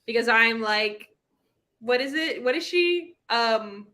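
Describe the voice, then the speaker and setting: Female book club host, livestream chat. Bright and forceful, on the high side, exclamatory and full of slang. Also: lively intonation, slow. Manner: playful, exclamatory, slangy, spells out internet abbreviations.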